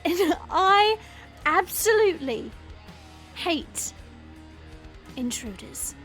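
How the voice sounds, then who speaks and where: A woman's voice: very high voice, very animated, very slow, music in the background, full character voice. A woman acting a brash, sarcastic young demonic woman in an audio drama, theatrical and mocking. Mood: menacing. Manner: sarcastic, theatrical, exclaiming and drawling.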